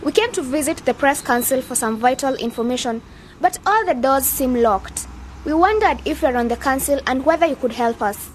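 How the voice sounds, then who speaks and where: A woman's voice: high voice, animated, normal speed, slight background noise. A woman acting a level-headed, persuasive young journalism student in a scripted radio drama. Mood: earnest, persuasive. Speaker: actor in an educational radio drama.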